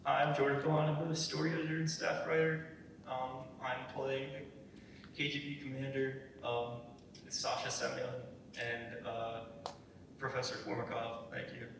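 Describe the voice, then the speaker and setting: A man's voice: mid-range voice, completely flat delivery, a little slow, creaky. A young man casually introducing himself to an audience as a member of a cast. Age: young adult. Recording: very echoey room, distant mic, noise.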